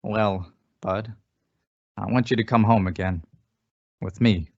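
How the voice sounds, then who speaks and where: Lowish, coaxing ex-police chief, played by a man. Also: steady tone, slow, a little mellow. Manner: insinuating, persuasive, folksy. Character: folksy, coaxing male former small-town police chief. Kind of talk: old-time radio suspense acting. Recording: dull, lo-fi audio.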